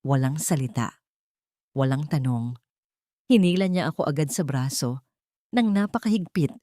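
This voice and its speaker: A woman narrating a scripted confession story in the first person, with solemn, emotive, deliberate phrasing. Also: deep voice, a little mellow, no echo, clean studio sound.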